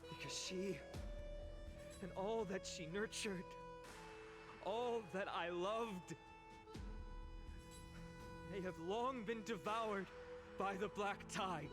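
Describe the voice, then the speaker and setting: A man voicing an earnest young hero in a video game, giving a formal, solemn speech to an assembly. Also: high voice. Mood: grieving, wistful. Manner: formal, solemn, measured oratory. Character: young earnest heroic man orating before a citizen assembly. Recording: long hall reverb under loud music.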